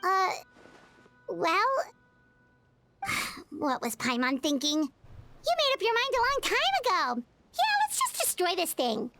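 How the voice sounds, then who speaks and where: Tiny fairy companion, extremely high voice, childlike, bubbly, excitable, game acting, music behind. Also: female voice, slow pace, long pauses, exaggerated voice. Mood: sheepish, then eager. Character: tiny excitable girlish fairy companion, speaks in third person. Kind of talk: English video game voice acting.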